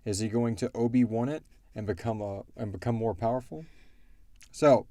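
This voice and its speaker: A man co-hosting a casual question-and-answer chat, thinking aloud and explaining things in a halting way. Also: mid-range voice, warm tone, no room sound. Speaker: podcast co-host and show creator answering fan questions.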